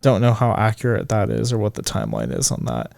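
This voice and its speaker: A man in his twenties co-hosting a casual podcast chat, swearing freely, his voice jumping high when he gets indignant. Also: very animated, quick pace, vocal fry, a little mellow, no room sound, studio quality. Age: 20s. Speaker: podcast co-host.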